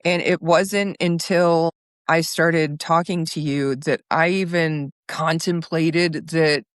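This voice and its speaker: A woman's voice: deep voice, steady tone, takes it slowly, dry recording, clean studio sound. A woman hosting an interview show, introducing and questioning her guest. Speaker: host.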